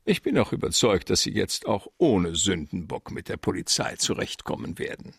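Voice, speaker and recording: An actor playing a fat, courteous but calculating middle-aged crime boss in a radio drama, with florid, pompous phrasing. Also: male voice, low voice, wildly swooping pitch, moderate pace, creaky, husky, heavily acted. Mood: suave.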